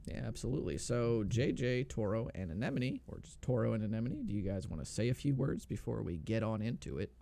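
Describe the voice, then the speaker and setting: Gravelly laid-back male game commentator. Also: lowish voice, expressive, a little fast, few pauses, warm tone. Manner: laid-back, filler-heavy explaining. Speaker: speedrun race commentator.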